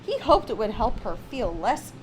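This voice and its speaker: High-voiced woman reading a storybook aloud. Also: very animated, moderate pace, background noise. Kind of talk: read-aloud children's storybook narration.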